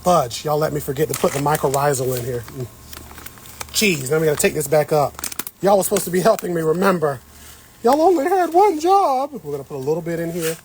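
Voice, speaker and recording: A man's voice: fairly high pitch, lively intonation, a little fast, darkish tone, slight background noise. A man hosting a casual gardening livestream, chatting with viewers as he works the soil on an outdoor patio. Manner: chatty, talks to viewers while working the soil. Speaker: host.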